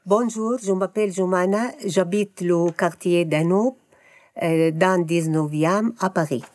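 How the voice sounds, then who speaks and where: Slow, hesitant woman learner. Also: lowish voice, darkish tone, no room sound. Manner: hesitant, with frequent fillers. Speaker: French learner reporter.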